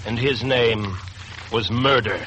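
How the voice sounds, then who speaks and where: An actor playing a grave, ominous narrator of a murder tale in 1940s radio drama style. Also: male voice, medium pitch, slow, loud music behind the voice, natural voice. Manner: ominous, solemn. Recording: noisy old 1940s radio broadcast.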